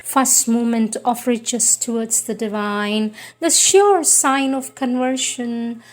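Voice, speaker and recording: A woman reading a devotional script in a measured, solemn manner. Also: on the high side, noisy background. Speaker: reader.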